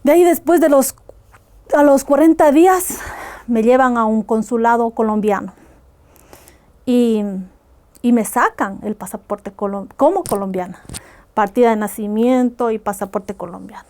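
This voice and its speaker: A woman's voice: a little high, normal speed, a little mellow. A woman from Ecuador, earnestly recounting hard personal experiences as a guest on a podcast. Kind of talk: personal testimony in a podcast interview.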